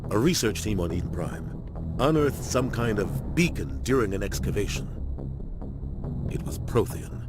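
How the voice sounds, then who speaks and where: A man's voice: fairly low pitch, very animated, normal speed. A male actor playing a seasoned starship captain giving firm, commanding orders. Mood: grave. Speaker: ship captain. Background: music.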